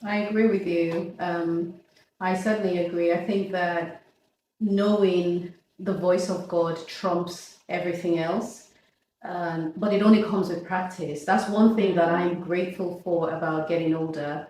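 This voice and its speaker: A woman's voice: fairly low pitch, fairly even intonation, unhurried, dark tone. Echoey, distant fifty-something woman. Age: 50s. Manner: reflective, laughs often, restarts mid-thought. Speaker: podcast host. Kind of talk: casual faith conversation. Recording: echoey room, distant from mic, faint noise.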